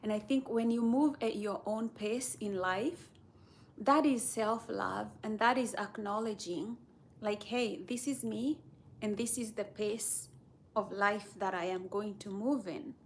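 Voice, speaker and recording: A woman from Kenya chatting casually on a live stream, rambling in an unhurried way and talking straight to her viewers. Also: mid-range voice, a little hiss or hum. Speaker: livestreamer.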